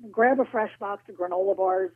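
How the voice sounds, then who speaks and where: A woman's voice comes through a thin, tinny phone connection, a touch husky. She explains roadside safety in a measured, practical way, pausing now and then. Her pitch sits in an ordinary middle range. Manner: measured, practical, some hesitations. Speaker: auto club spokesperson guest. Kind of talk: informative interview answers. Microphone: phone line.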